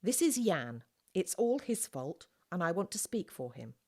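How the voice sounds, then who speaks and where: A woman's voice: fairly low pitch, sing-song, unhurried, mellow, pitched above the performer's own voice, heavily acted. An eighteen-year-old girl in a storybook, voiced by a woman reader, protective and firm. She explains herself earnestly and politely. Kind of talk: audiobook character dialogue.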